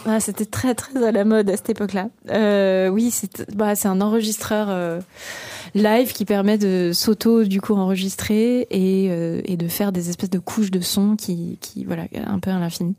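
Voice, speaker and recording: Hesitant young woman, mellow dry voice. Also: medium pitch, fairly even intonation, normal speed, no room sound. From Paris region. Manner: hesitant, many fillers and restarts. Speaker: singer-songwriter guest. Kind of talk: radio interview.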